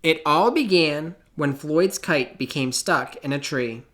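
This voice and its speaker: A man reading a children's picture book aloud in an expressive, theatrical way, with deliberate pauses. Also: a little high. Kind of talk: children's storybook read-aloud.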